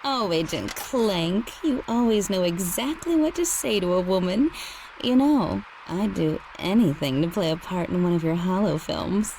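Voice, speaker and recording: Creaky-voiced, flirtatious robot starlet. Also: female voice, lowish voice, lively intonation, moderate pace, darkish tone, a little hiss or hum, poor audio. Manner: coy, flirtatious. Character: sultry, flirtatious robot pop starlet, coy and scheming. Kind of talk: video game cutscene acting.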